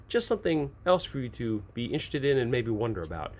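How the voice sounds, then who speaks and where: A man with a mid-pitched voice speaks as the host of an advice show for game masters. His delivery is casual and unscripted, like chatting to a peer. He tends to pose rhetorical questions and answer them himself. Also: very animated, fast talker, a little mellow.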